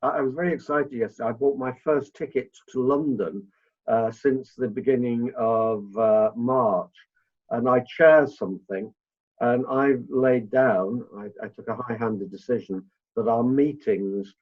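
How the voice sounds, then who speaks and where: A man's voice: mid-range voice, measured pace. A man co-hosting a conversational podcast, rambling through his own opinions before putting his question. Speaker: podcast co-host. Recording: dull, lo-fi connection.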